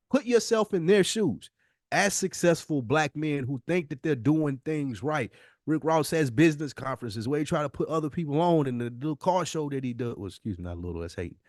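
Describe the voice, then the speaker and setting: High-voiced, animated man, bluntly challenging. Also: no echo, clean studio sound. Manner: challenging, blunt, fires rhetorical questions, slangy. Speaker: podcast co-host. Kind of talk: casual debate.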